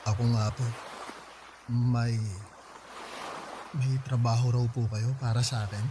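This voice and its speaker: An actor playing a polite, naive young husband who stammers when nervous. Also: male voice, medium pitch, flat delivery, measured pace, noisy background, natural voice. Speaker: voice actor in radio drama. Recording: music bed under the voice.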